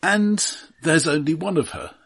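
A man's voice: a little high, a little slow, creaky, a bit bright, breathy edge. A man co-hosting a casual film critique, making his points in a wry, sardonic, pointed way. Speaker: film podcast co-host.

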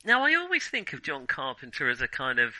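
A man with a very bright, forceful voice at a middle pitch, recorded dry and close. He talks casually as a film podcast host, wry and jokey, happy to poke fun at himself. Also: huge pitch swings, fairly quick, dry recording. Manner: wry, self-deprecating, jokey. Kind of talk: casual film discussion with playful scripted intro.

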